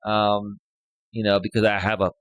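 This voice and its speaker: A man in his thirties with a loud voice, hosting a casual live stream as a life coach, chatty and full of fillers, often tagging on "right?". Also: lowish voice, little pitch movement, moderate pace, no echo, low-quality recording. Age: 30s. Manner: chatty, filler-heavy, keeps adding "right?". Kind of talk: casual live-stream talk, reading chat posts. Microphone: studio dynamic microphone.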